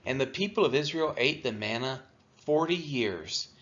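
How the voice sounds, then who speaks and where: A man's voice: medium pitch, expressive, normal speed, a bit bright. A man reading scripture aloud expressively, as a reader for a church. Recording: dull, lo-fi sound.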